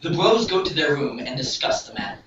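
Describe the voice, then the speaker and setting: A man's voice: a little high, animated, fairly quick, dull audio. A man giving dramatic scripted narration for an audio story. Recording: very echoey, distant, loud music behind.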